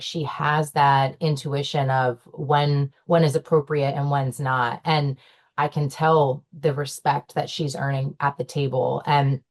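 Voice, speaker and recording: Low-voiced chatty young woman. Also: darkish tone. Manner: chatty, many fillers and restarts, laughs often. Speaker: panelist, owner's daughter in the business. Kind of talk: casual panel discussion.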